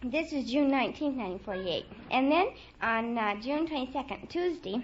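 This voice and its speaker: A woman's voice: sits high, lively intonation, moderate pace. A young woman from New Orleans chatting earnestly and in detail as a contestant on a 1940s radio quiz. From New Orleans, Louisiana. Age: young adult. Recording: old 1940s radio, dull, noisy, audience.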